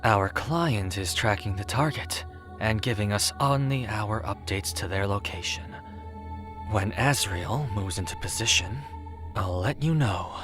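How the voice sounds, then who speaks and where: Man acting as brooding death god, lowish voice, guarded, terse, dry, serious, audio drama, clear music behind. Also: unhurried, close to an everyday voice.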